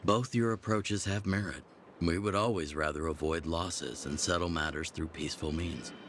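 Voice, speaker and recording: A deep-voiced rebel chief. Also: male voice, background noise. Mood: measured. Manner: measured, authoritative. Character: gruff veteran male rebel chief, steady. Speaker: underground resistance chief. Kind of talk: video game voice acting. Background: game music.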